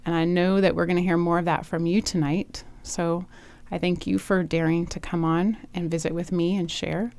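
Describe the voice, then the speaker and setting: A woman hosting a faith podcast interview, warmly affirming her guest and reading prepared words. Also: lowish voice, little pitch movement, normal speed, few pauses. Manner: warm, affirming, reads prepared tribute. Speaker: podcast host.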